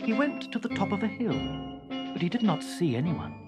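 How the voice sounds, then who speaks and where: A man narrating a children's story dramatically, expressive and suspenseful, savouring every sound word. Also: sits high, fairly quick, over loud music.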